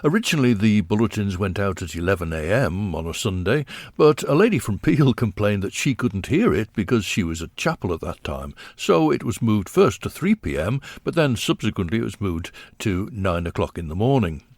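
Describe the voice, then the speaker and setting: Low-voiced older man reminiscing. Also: very animated, a little mellow, no room sound. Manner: measured, reflective storytelling. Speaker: narrator. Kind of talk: reminiscing documentary narration.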